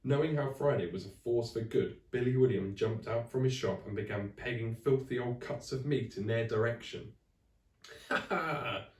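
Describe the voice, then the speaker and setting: A man reading a children's story aloud in expressive narration, like a teacher to a class. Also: mid-range voice, moderate pace, few pauses, far from the mic. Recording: echoey room, away from the mic.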